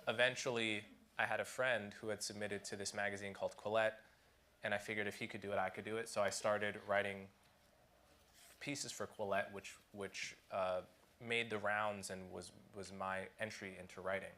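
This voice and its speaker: A man speaking as an author on a conference panel, thoughtful and halting, often restarting his sentences. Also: lowish voice, little pitch movement, pushed and bright, slight background noise.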